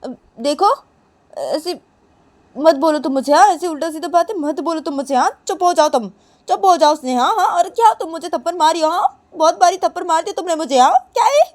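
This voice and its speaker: A woman voicing an upset young woman who pleads and protests in a high, shrill voice. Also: a little slow. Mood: pleading.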